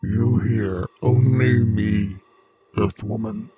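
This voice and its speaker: A man playing a cold, commanding alien monster hungry for human brains, in old-time radio horror style, slow and deliberate in his menace. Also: fairly low pitch, steady tone, mellow, breathy edge, no echo, exaggerated voice. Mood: hypnotic, commanding.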